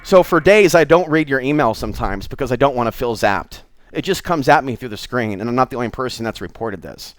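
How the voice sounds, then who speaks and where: A man's voice: mid-range voice, animated, a little fast. A middle-aged American man giving an unscripted, rambling monologue to his viewers, candid and confiding as he wanders between thoughts. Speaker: host of his own online show.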